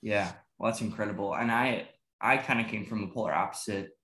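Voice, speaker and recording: A man's voice: on the low side, fairly quick, crowd noise. A man hosting a podcast interview, upbeat and casual, hyping his guest and laughing often. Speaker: podcast host, pastor. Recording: roomy echo.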